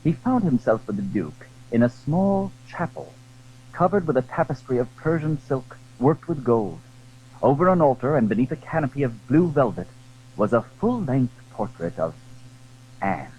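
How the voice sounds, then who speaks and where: Expressive male narrator on a dull recording. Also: mid-range voice, slightly rough. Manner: formal, expressive, measured. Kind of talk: scripted dramatic storytelling narration. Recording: dull, hissy old audio drama recording.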